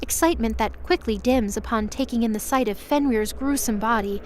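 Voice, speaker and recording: A woman's voice: a little high, fairly quick, a little mellow, a little hiss or hum. A woman reading scripted, dramatic story narration for an audio drama.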